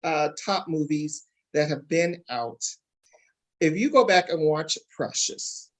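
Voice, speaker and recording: A man's voice: on the high side, slow. A man hosting a casual interview, repeating phrases for emphasis and speaking directly to the audience. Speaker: interview host.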